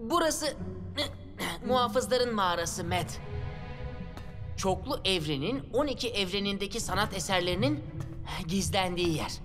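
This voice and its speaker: A woman's voice: medium pitch, animated, moderate pace, pauses often, over music, natural voice. A woman playing an anxious, earnest young boy guardian in a dubbed children's cartoon, fussy and dramatic. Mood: earnest explaining. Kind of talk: dubbed children's animated adventure.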